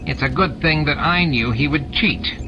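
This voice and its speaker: Old alien tyrant, played by a male reader: high-pitched, imperious, scolding his underlings in an audiobook, over music. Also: moderate pace, muffled recording, close to an everyday voice. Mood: smug, scornful. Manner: scolding, domineering.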